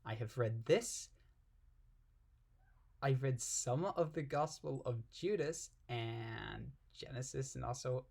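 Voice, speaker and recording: A man's voice: a little high, creaky, darkish tone, slightly rough. A man reading aloud and commenting casually in an irreverent, giggly, puzzled manner. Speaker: amateur reader and commentator.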